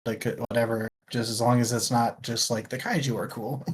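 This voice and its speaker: Casual book-club host, mid-pitched voice, wry and conversational. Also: fairly even intonation, brisk, breathy edge. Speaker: book discussion show host. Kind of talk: casual chat, book discussion.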